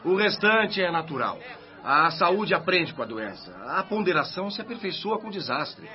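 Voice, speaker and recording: An actor playing an unworried, sententious man gently chiding a colleague in a radio drama. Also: high-pitched, sing-song, moderate pace. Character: calm philosophical man chiding a worried colleague. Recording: muffled radio play recording.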